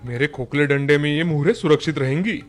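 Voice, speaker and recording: Man acting as greedy village shepherd, sly, theatrical, fairly high pitch, cartoon tale, faint music. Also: fairly quick, natural voice. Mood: scheming. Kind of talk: animated moral-story dialogue.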